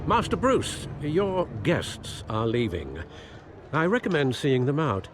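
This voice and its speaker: An actor playing a discreet older butler in a video game, formal, polite and understated in manner. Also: male voice, a little high, huge pitch swings, takes it slowly, noisy background, exaggerated voice. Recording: crowd chatter behind.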